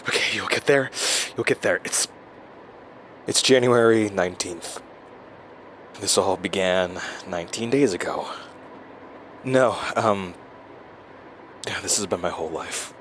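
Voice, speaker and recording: An actor in an audio drama playing a rattled, self-doubting man who records a halting, stammering journal, talking to himself. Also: low voice. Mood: dazed, anxious. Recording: steady noise, like inside a moving car.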